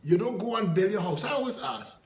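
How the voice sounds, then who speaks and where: A Trinidadian man with a high, ringing voice delivers a fiery political address. He is scornful and full of rhetorical questions. The room around him is echoey. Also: very animated, a little fast. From Trinidad and Tobago. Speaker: political party leader.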